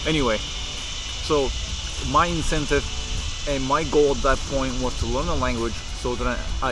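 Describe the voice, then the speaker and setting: A young man from Japan with a Japanese accent, casually talking to camera as a vlogger, in a relaxed, unhurried way, pausing to think with fillers. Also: medium pitch, background noise.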